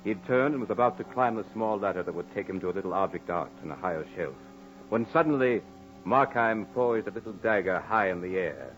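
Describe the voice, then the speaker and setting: A man's voice: low-pitched, animated, a little fast, a bit bright. Old time radio horror announcer guy, formal and ominous, dull muffled recording, bit of music behind. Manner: portentous, formal. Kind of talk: dramatic 1940s radio horror narration.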